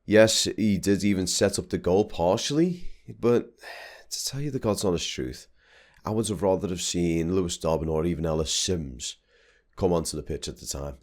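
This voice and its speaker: A man's voice: sits low, normal speed, darkish tone. A young man, talking casually and rambling a bit as he vlogs over drone footage. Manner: chatty, rambling with restarts. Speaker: vlogger.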